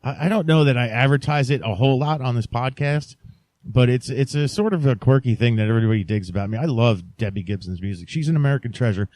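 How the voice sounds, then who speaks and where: Male podcast host, medium pitch, dry close sound, rambling, halting, tongue-in-cheek. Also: darkish tone, no echo. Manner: halting, mock-sincere joking. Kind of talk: casual rambling podcast chat.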